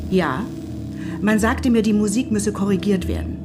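A woman's voice: medium pitch, normal speed, natural voice. A woman playing a demanding, fretful mother in a radio play, speaking precisely and insistently. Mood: troubled. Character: demanding, fretful mother in a machine-run future society. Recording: loud music behind the voice.

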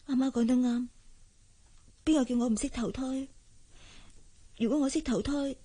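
A timid young servant girl, speaks in a high, deferential voice. Her Hong Kong accent is plaintive and humble, in radio drama style. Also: fairly even intonation, moderate pace, dark tone, slightly rough. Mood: sorrowful musing. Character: meek, humble young housemaid from a poor family. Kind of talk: Cantonese radio drama acting.

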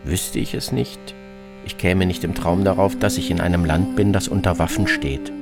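Low-voiced narrator over loud guitar music. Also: fairly even intonation, moderate pace, creaky. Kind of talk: scripted first-person travel narration in a radio feature.

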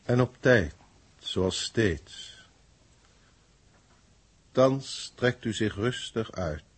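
Pompous alien authority, deliberate pace, husky, commanding, old sci-fi radio play. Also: male voice, on the low side, expressive, heavily acted. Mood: lofty, calm. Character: authoritative, haughty alien proctor in human form. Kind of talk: 1960s Dutch science-fiction radio acting.

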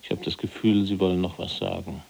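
A reserved, educated resistance fighter held in a prison cell, from a radio play. His voice is fairly low and husky. He chooses his words formally and precisely, with calm composure. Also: a little fast, full character voice. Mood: calm, measured. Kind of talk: German radio drama dialogue.

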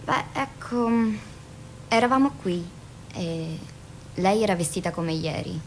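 An actress playing a bold, teasing female patient in a radio drama, probing and insinuating with deliberate pauses. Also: animated. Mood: provocative, insinuating. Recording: noisy radio recording with low rumble.

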